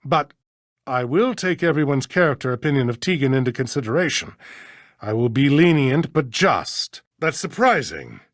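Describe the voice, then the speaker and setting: Pompous, very animated stern judge. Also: mid-range voice, no room sound, studio quality. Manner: pompous, formal, deliberate. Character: stern, pompous town judge, grudging and self-important. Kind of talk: video game voice acting.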